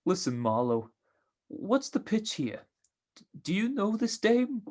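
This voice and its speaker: Man acting a small-time tough guy, unhurried, slangy, greedy, dim, streetwise, old radio crime drama. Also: high-pitched, big pitch swings, a little mellow, full character voice. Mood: eager, blunt. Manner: drawn-out streetwise gangster slang.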